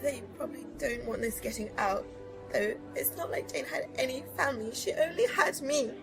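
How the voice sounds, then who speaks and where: A woman's voice: high-pitched, expressive, normal speed, creaky. A woman playing a fragile, grieving and guilt-ridden character in an audio drama. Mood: mournful. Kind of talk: emotional audio drama acting. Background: music.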